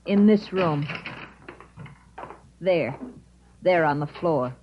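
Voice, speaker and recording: On a dull old radio recording, a mysterious woman speaks in a very low voice. She is formal and measured, keeping a cool composure throughout. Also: slight background noise. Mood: composed, secretive. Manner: formal, measured, stilted phrasing. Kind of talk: 1950s radio drama acting. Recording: dull vintage radio recording, faint music.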